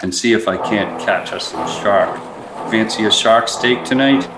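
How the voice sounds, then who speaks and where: A man's voice: on the low side, unhurried, close to an everyday voice. A man playing a hearty, rambling, folksy ship's cook in a radio play. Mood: jovial. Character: hearty male ship's cook, singing and fishing. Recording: echoey, very noisy recording.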